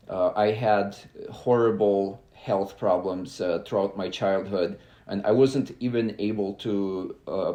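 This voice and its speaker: A young adult man from Belarus, a guest on a podcast, talking in a halting, earnest way with many filler sounds. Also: fairly low pitch, warm tone, reverberant. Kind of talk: casual interview talk.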